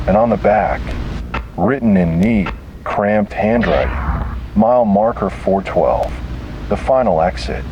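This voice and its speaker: A man narrating a scripted first-person horror story in a slow, dramatic, halting way, in short phrases. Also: sits low. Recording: noisy found-tape style recording.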